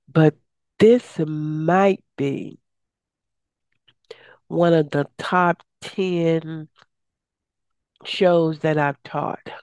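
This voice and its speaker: Slow-talking raspy woman radio host. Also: darkish tone, no room sound, muffled recording. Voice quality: raspy, deeper than usual. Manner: chatty, upbeat, promotional, with many uh fillers. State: hoarse from days of talking. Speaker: radio show host and success coach. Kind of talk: casual radio talk.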